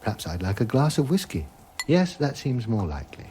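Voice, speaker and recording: An actor playing a vague, gentle hermit who doubts all reality, in a radio comedy drama. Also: male voice, medium pitch, very animated, brisk, a little hiss or hum, natural voice. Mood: musing. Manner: musing, detached, hesitant, questioning everything.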